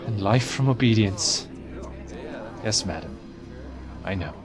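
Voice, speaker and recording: A man's voice: fairly low pitch, lively intonation, takes it slowly, over music. An actor playing an exasperated, argumentative citizen of a strict colony in an audio drama, protesting as he talks. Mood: resigned. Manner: indignant, protesting.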